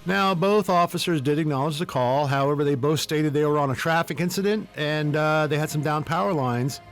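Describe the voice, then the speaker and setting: A man's voice: fairly high pitch, fairly quick, faint music behind. A man hosting a podcast, telling humorous stories in a casual, wry, tongue-in-cheek way. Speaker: podcast host. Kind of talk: casual humorous storytelling commentary.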